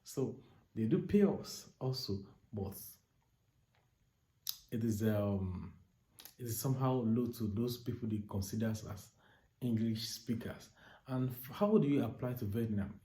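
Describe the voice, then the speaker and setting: A Nigerian man with a mellow, warm tone talks with eager energy and stacks up repeated words. His voice sounds roomy, with a clear echo around it. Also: mid-range voice, a little slow, long pauses. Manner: repetitive, enthusiastic, stacks repeated words and restarts. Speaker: recruitment agent. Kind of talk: informal advice vlog. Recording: echoey room.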